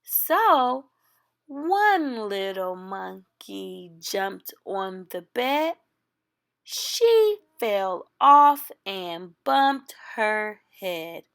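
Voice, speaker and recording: A woman reading a children's picture book aloud, with expressive, deliberate phrasing for young listeners. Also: medium pitch, creaky. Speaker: storytime reader.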